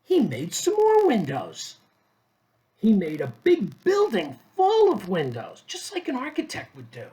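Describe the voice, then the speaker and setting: A man reads a children's storybook aloud at an unhurried pace. His pitch rises and falls in a lively way, and he leaves pauses between short phrases. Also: rough recording. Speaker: storybook reader.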